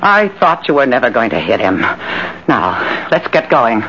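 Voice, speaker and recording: A woman's voice: very deep voice, sing-song, unhurried, heavily acted. An actress playing a cold, ruthless, commanding woman schemer in 1940s radio drama style, giving decisive orders. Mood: cold, resolute. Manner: decisive, domineering, crisp orders. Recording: noisy, muffled old radio recording.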